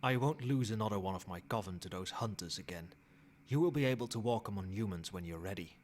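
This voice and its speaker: A man playing a possessive, controlling old vampire in a soft-spoken, measured ASMR roleplay. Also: lowish voice, lively intonation, slight background noise, natural voice. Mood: stern, insistent. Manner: measured, formal, commanding.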